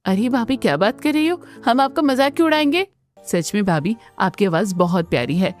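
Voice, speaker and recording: A woman's voice: fairly high pitch, big pitch swings, fairly quick, faint music behind. A woman voicing a bubbly young sister-in-law in a family cartoon, gushing and eager. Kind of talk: Hindi family cartoon dubbing.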